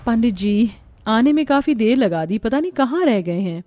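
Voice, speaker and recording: Woman as a measured, kindly rich matron. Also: a little high, expressive, warm tone, no room sound, faint music behind, natural voice. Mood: earnest. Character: kindly wealthy matron, thoughtful prospective mother-in-law.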